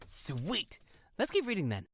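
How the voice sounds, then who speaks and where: A woman playing an excitable fifteen-year-old boy comic geek in an audio drama, eager to explain and easily annoyed. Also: fairly low pitch, a little slow, breathy edge, no room sound, clean studio sound, heavily acted. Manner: eager explaining, whiny when teased.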